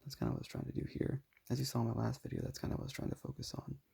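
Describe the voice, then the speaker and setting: Raspy, soft-spoken young man. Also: mid-range voice, little pitch movement, very fast. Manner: soft-spoken, self-deprecating, rambling. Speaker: vlogger showing his sketchbook. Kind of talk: casual talking over a sketchbook tour.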